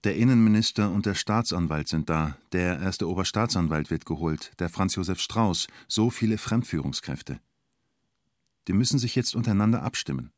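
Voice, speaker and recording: An actor narrating a radio play in the present tense as an observant storyteller, in terse, understated fragments. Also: male voice, low voice, expressive, normal speed, no echo, clean studio sound. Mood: observant, questioning. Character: male storyteller following an onlooker at a siege, observant.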